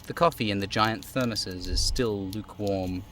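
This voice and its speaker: A man's voice: lowish voice, normal speed, noisy background. A man streaming a game, giving a live dramatic reading of its narration and dialogue. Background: faint music.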